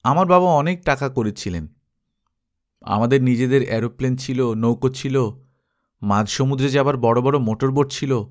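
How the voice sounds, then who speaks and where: A man's voice: mid-range voice, normal speed, long pauses, natural voice. Male schoolmaster for a storybook, acted by a man narrating, reminiscing, mid-range voice. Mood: nostalgic. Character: male schoolmaster recalling his adventurous youth.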